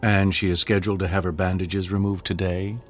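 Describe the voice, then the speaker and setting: An actor playing an imperious government minister in a radio drama, coldly formal and pressing. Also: male voice, low voice, flat delivery, fairly quick, faint music behind, lightly acted. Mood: cold, probing.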